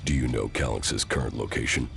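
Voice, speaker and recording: A man playing a gruff, stoic exiled military commander in a video game, speaking tersely and sternly with a gravelly voice. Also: brisk, fairly bright tone, reverberant, soft background music, heavily acted. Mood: terse, guarded. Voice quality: deep gravelly voice. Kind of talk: video game cutscene acting.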